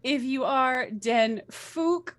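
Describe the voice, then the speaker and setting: A woman playing a bratty, defiant teenage author, reading out notes in a halting, emphatic way. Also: high-pitched.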